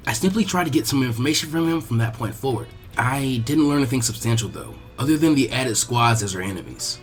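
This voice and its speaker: A man's voice: mid-range voice, animated, quick pace, faint music behind, lightly acted. A man voicing an earnest young female ninja in a story reading, speaking politely and deferentially. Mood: dutiful, deferential.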